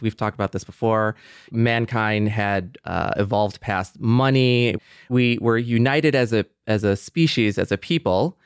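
A man's voice: on the low side, clear, resonant tone, dry recording, clean studio sound. A man hosting a podcast in a conversational style. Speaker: podcast host.

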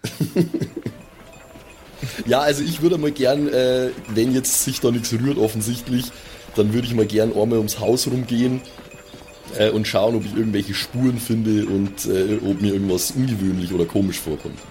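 A man's voice: lowish voice, normal speed, warm tone. A man, chatting casually as a player in an improvised role-playing game. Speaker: tabletop player.

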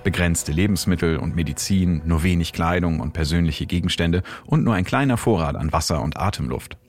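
A man hosting and narrating a scripted science documentary in German. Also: sits low.

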